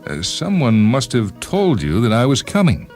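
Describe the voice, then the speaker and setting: A man's voice: medium pitch, wildly swooping pitch, moderate pace, a little mellow, voice pushed up. A man voicing an exasperated, self-justifying man in his thirties with a grievance against his father, in a dramatized story reading. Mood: flustered. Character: aggrieved, unhappy 32-year-old man confronting his father. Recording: music bed behind the voice.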